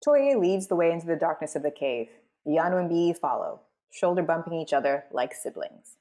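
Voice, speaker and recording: A woman hosting as a voice director, narrating and explaining in a clear, articulate way. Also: deep voice, expressive, moderate pace, warm tone. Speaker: voice director and host.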